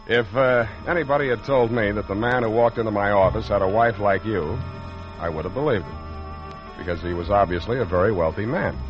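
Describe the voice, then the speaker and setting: An actor playing a hard-boiled, wry private detective with a deadpan delivery in a 1940s radio drama. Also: male voice, on the low side, close to an everyday voice. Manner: deadpan, dry, halting dictation. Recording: muffled old radio broadcast.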